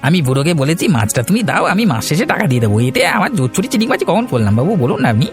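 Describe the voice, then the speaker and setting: A man voicing a scheming village bully in a cartoon, flattering and wheedling. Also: a little high, fast, over music. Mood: defensive. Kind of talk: cartoon voice acting.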